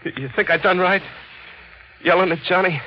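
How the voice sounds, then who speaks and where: An actor playing a nervous working-class cab driver in a 1940s radio drama, colloquial and hesitant. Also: male voice, sits high, normal speed, a loud crowd, lightly acted. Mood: shaken, earnest. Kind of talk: 1940s radio drama acting with first-person narration. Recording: dull, band-limited old radio recording.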